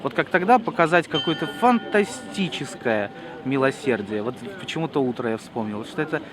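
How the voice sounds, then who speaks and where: A man, a journalist from Russia, giving a slow, thoughtful outdoor interview with hesitant pauses. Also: medium pitch, animated. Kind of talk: reflective street interview. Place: outdoor city square at a memorial gathering. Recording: outdoor crowd noise behind.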